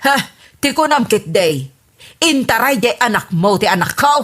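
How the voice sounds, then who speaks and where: A woman with an Ilocano accent playing a quarrelsome mother in a radio drama, with a husky voice. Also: fairly high pitch, animated, moderate pace, a bit bright. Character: sharp-tongued middle-aged mother Marta.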